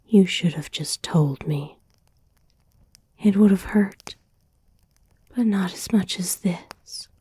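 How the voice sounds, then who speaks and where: A woman playing an obsessive, wounded, possessive girlfriend in an intimate, halting, pleading ASMR whisper. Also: medium pitch, mellow, breathy edge, dry recording. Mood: hurt, bitter. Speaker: ASMR voice actor. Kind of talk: whispered ASMR roleplay monologue.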